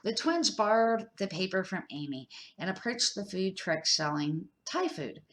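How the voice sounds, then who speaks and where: A woman's voice: mid-range voice, sing-song, a little slow, a bit bright, slight background noise. Older woman reading a kids' storybook. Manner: unpolished reading with small slips and restarts. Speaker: storybook reader. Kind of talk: reading a children's book aloud.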